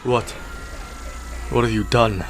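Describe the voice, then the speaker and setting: A man's voice: mid-range voice, steady tone, slow, music in the background. A man playing a defiant, sardonic time-travelling hero in an audio drama, taunting his captors. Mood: incredulous, dismissive.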